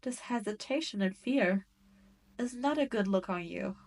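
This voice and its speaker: A woman's voice: medium pitch, expressive, normal speed, darkish tone. A woman playing a cruel, gloating demon queen in a scripted audio roleplay, condescending and taunting. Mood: teasing, condescending.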